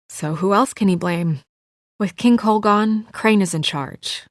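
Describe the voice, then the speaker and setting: A woman's voice: on the low side, no echo, clean studio sound, lightly acted. A woman voicing an anxious, businesslike young female official in a video game, speaking in an earnest, guarded way with naturalistic delivery. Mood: guarded, concerned.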